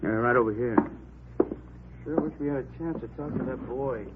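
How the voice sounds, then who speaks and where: An American actor playing an earnest, suspicious police detective who worries, in old radio drama dialogue, hesitant and folksy yet insistent. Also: male voice, mid-range voice, moderate pace, background noise, natural voice. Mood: earnest, doubtful. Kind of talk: 1940s-50s radio drama acting, conversational dialogue. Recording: muffled, thin old radio recording.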